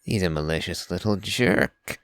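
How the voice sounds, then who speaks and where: A man's voice: low voice, measured pace, fairly bright tone, clean tone, no room sound. A man reading game dialogue aloud and giving casual commentary as a streamer.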